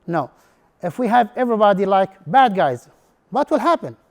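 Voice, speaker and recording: A man's voice: high voice, very animated, normal speed. A man whose first language is Arabic, giving a presentation as an instructor in non-native English and putting questions to the audience in a room. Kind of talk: prepared talk to an audience.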